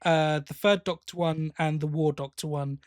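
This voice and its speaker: A man with a slightly high voice talks as a podcast co-host in a close, dry recording. His delivery is casual review chat, with halting phrases that he restarts and corrects. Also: fairly even intonation, no room sound.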